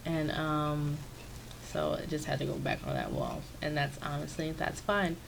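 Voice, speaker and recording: A woman with a raspy, stuffy voice, chatting casually and rambling with many fillers, recorded on a sensitive microphone in her home office. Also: low-pitched, wildly swooping pitch, moderate pace, background noise. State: stuffy from sinus trouble. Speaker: video creator. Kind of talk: casual commentary over a speed-build. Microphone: new sensitive desk microphone.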